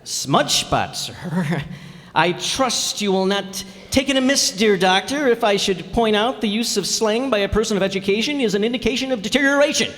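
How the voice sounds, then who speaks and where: Man acting blustery comic husband, pompous diction, folksy slang, very animated pitch, radio comedy, reverberant hall. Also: moderate pace. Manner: pompous grand words slipping into folksy slang, stammering. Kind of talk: old-time radio comedy acting before an audience. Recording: echoey hall stage recording.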